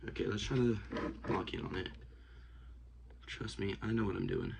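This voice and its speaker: A young man vlogging casually while working at a desk, rambling and talking to himself with many hesitations and often dropping to a hush. He sounds sniffly and congested. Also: fairly low pitch, fairly even intonation, moderate pace, creaky. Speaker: outdoors vlogger. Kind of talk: casual hands-on vlog. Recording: noisy home recording.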